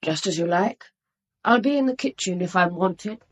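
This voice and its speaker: A woman's voice: fairly low pitch, lively intonation, normal speed. A woman playing a formal, guarded Victorian household helper in a radio drama.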